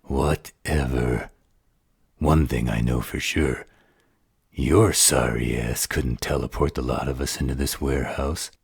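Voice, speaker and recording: A man voicing a gruff, resentful, defiant werewolf in a roleplay audio drama, gravelly, raspy and blunt. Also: rumbling low, steady tone, a little slow, dry recording, clean studio sound, exaggerated voice. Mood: resentful, scornful.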